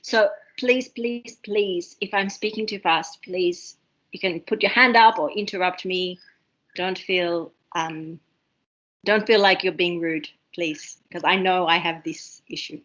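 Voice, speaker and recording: Fast-talking Australian woman tutor, lo-fi. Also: fairly low pitch. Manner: fast, excitable, many ums and restarts. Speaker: university seminar tutor. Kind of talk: online seminar teaching. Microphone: video call.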